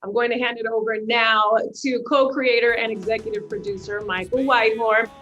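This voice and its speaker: Female moderator: higher pitch, bright delivery, slightly muffled recording. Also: steady tone, brisk, faint music behind. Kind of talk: live event hosting.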